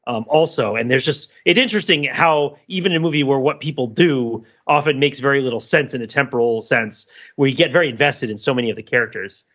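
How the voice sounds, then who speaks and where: A man co-hosting a casual, analytical film discussion, talking in an animated, enthusiastic way with many rambling asides. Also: medium pitch, dull audio. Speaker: podcast co-host.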